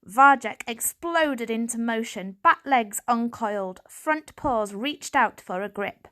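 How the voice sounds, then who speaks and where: A woman's voice: on the high side, expressive, slow, a bit bright. A woman reading a children's audiobook as a dramatic scripted narration. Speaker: audiobook reader.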